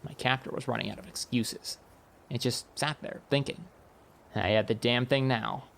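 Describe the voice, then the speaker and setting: A man narrating a first-person comic fantasy audiobook in a wry, expressive storytelling manner. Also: mid-range voice, normal speed, creaky, slight background noise.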